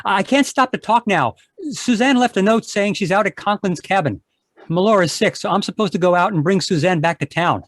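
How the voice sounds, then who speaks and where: A man's voice: high-pitched, dry recording, pitched above the performer's own voice, close to an everyday voice. A man voicing an eager, friendly young sled driver in an old-time radio adventure. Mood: friendly, hurried. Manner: hurried, friendly.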